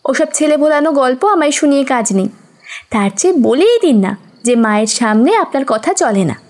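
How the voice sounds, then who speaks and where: Stubborn, curious young wife in horror audio story, pouting, very high and animated voice. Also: female voice, a little fast. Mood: sulky, challenging. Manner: pouting, challenging, teasing.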